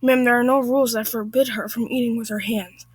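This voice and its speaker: Story role: woman as male manager, courteous but firm, measured, dry close recording. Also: on the high side, steady tone, breathy edge, no room sound. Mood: polite, firm.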